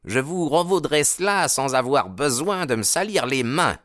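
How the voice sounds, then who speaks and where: Arrogant young male rival, done by a male narrator, brusque, sneering, lively pitch swings, menacing, audiobook drama. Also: normal speed, dry recording, studio quality, close to an everyday voice. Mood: threatening. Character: arrogant, jealous young male bully, menacing and hot-tempered.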